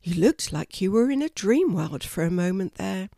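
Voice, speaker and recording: A woman voicing a gentle, kind female kangaroo in a storybook, speaking softly. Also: mid-range voice, lively intonation, normal speed, vocal fry, no room sound, clean studio sound. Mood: wistful. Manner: soft, gentle.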